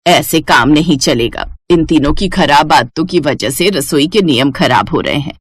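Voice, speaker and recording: A woman's voice: sits low, lively intonation, normal speed, breathy edge, dry recording, voice pushed down. A woman voicing a stern, grumbling old mother-in-law in a scolding manner for a cartoon moral story. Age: older. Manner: scolding, complaining.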